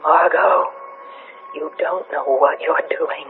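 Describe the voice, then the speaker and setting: A man playing a pleading, menacing alien that lives inside a woman's body, in an eerie 1970s radio drama with a drawn-out delivery. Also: fairly high pitch, fairly even intonation. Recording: lo-fi 1970s radio broadcast with music.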